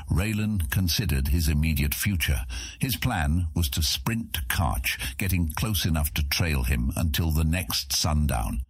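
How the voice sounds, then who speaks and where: Deep voiced guy narrating a fantasy audiobook, husky, super expressive intonation, dry studio sound. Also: dry recording. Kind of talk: dramatic scripted narration of fantasy fiction.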